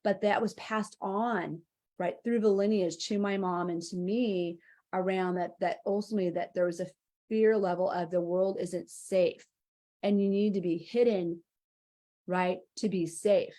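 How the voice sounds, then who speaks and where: A woman's voice: medium pitch, fairly even intonation, measured pace, warm tone. A woman speaking as a guest on a live spiritual interview, talking in an earnest, heartfelt way with long flowing phrases. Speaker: interview guest, angel mentor.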